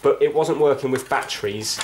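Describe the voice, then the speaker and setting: A man's voice: medium pitch, a little fast, a little mellow. Man thinking aloud, noisy room. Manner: thinking aloud, checking with questions. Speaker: amateur repair video host. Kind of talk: casual hands-on repair commentary. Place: indoors on a wooden floor. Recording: clicky, noisy room recording.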